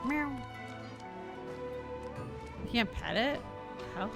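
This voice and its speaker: A woman's voice: fairly high pitch, animated, drawn-out words, gravelly. A young woman chatting casually and reactively while streaming a game, rambling along in a giggly way. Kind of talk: casual live gameplay chat. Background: game music.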